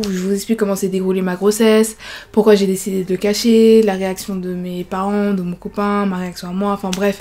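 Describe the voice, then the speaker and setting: Young woman vlogger, twenties, mellow low-brightness tone, casual chatty storytelling. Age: 20s. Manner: chatty, many fillers like genre and voilà. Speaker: YouTuber.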